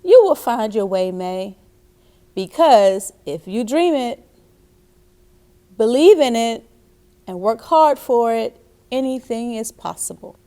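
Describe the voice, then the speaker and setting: A woman's voice: on the high side, lively intonation, slow, pauses often, dark tone. A woman voicing a supportive father in a storybook, encouraging and emphatic. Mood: reassuring. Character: supportive, reassuring father of a little girl.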